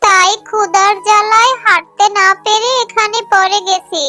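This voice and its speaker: Woman voicing a very high, bright cartoon cow. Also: steady tone, normal speed, soft background music. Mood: sorrowful. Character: kind, suffering magical talking cow. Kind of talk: cartoon voice acting.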